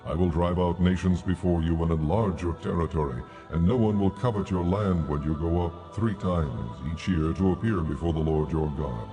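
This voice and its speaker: An actor playing God as a solemn, stern lawgiver in a dramatized audio Bible, speaking gravely and with deliberate authority. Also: male voice, low voice, music in the background, lightly acted. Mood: stern, commanding.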